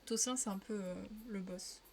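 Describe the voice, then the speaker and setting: A woman's voice: medium pitch, normal speed, background noise. Chatty woman streaming while coloring. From Paris region, France. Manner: chatty, laughs often, answers chat. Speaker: live streamer. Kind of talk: casual live-stream chat while coloring.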